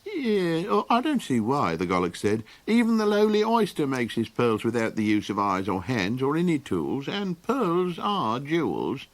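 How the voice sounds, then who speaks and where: A man's voice: mid-range voice, huge pitch swings, normal speed, hardly any pauses. A man voicing a scatterbrained old male Golox creature who rambles and hesitates in an audiobook. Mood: boastful. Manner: rambling, hesitant with many ums. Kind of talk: audiobook character voice.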